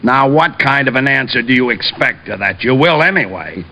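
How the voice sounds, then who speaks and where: A man playing a brisk, sardonic police lieutenant in 1950s radio drama style. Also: mid-range voice, expressive, pushed and bright, faint music behind. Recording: dull old radio recording.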